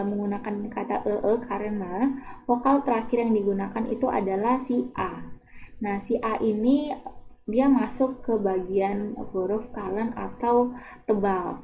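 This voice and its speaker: A woman language teacher patiently explaining Turkish phrases, with frequent hesitations and repetitions. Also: mid-range voice. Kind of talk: explaining a Turkish lesson in Indonesian. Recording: tinny phone-like audio.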